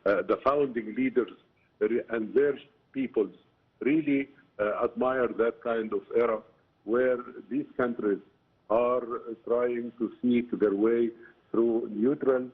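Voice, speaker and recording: A man with an Egyptian accent giving halting, explanatory answers as an expert guest in a broadcast interview, often saying "you know". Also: mid-range voice, steady tone, slightly rough. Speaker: political science professor, interview guest. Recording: muffled, narrow phone-like line.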